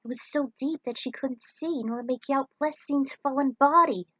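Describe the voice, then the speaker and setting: A woman's voice: high voice, moderate pace, pushed and bright, dry recording. A woman reading an action story aloud as narrator, in a dramatic scripted style. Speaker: narrator reading own fan fiction. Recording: dull, lo-fi close recording.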